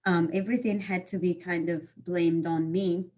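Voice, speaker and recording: A woman, her voice sitting a little low. She tells her story haltingly, filling gaps with ums and uhs. She comes through a video call. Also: steady tone, darkish tone. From Morocco. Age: 30s. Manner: halting, many fillers and restarts. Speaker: guest speaker. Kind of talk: personal life story talk.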